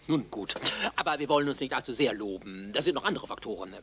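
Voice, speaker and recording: A man acting a busy, flattered older professor in a radio drama, speaking in a formal, lecturing way. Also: medium pitch, fast, noisy background, lightly acted. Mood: reluctant, modest.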